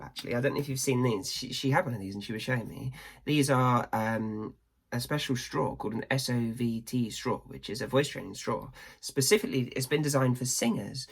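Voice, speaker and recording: Chatty woman vlogging. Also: a bass voice. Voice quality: croaky. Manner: chatty, rambling, self-deprecating. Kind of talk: casual chat to camera.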